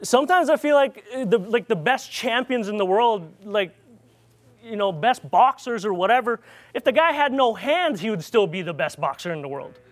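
A man's voice: high voice, lively intonation, normal speed. A man from the Philippines with a Filipino accent, preaching in a loose, rambling storytelling way with many fillers and restarts. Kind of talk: informal sermon storytelling.

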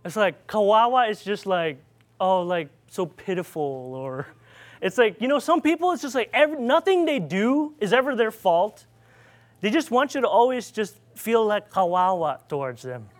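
Man, Filipino accent, high voice, rambling informal preaching, lots of fillers. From Philippines. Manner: rambling, animated, many fillers and restarts. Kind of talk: informal sermon storytelling.

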